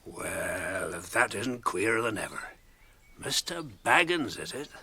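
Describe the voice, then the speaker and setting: An actor playing a gruff, hearty older hobbit farmer in a radio drama, folksy and wary of strangers. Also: male voice, on the low side, measured pace, slight background noise, heavily acted. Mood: folksy, storytelling. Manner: rustic, folksy, chuckling, animated.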